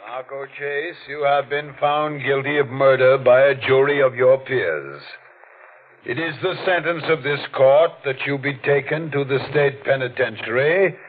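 A man's voice: medium pitch, lightly acted. Stern radio judge male actor, muffled recording. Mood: solemn. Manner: formal, measured, declamatory. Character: solemn, stern male judge passing sentence. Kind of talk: 1940s radio courtroom acting. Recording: muffled, distant vintage radio.

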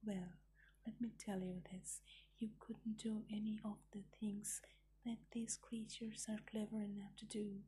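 A woman's voice: medium pitch, flat delivery, unhurried, warm tone, slightly rough. A woman whispering a stern scolding mother fox.

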